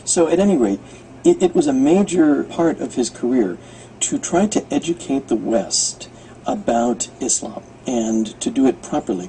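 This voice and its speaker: An American man explaining informally as an interviewed radio producer, halting as he thinks aloud. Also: mid-range voice, dark tone, slight background noise, rough recording. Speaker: radio producer and guest commentator. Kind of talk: unscripted explanatory interview talk.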